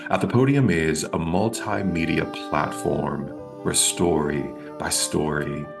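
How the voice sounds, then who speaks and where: A man hosting an interview show, reading a measured scripted introduction and then asking questions. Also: extremely low pitch, gravelly, music in the background. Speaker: podcast host.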